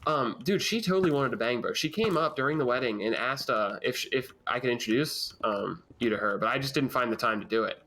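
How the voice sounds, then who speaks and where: Animated young man telling a stream story. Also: medium pitch, a little fast, few pauses. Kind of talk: casual chat on a game stream. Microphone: desk mic at a computer.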